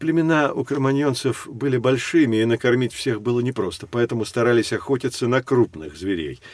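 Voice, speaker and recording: Mid-pitched man acting knowledgeable guide, measured, instructive, educational audio drama, dry close studio sound. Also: a little mellow, dry recording, close to an everyday voice. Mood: explanatory. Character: knowledgeable adult guide leading children through prehistory.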